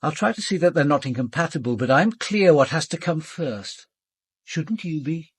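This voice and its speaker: An actor playing a courteous but unyielding police commander in a radio drama, formal, measured and probing. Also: male voice, a little high, lively intonation, husky, dry recording, studio quality. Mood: firm.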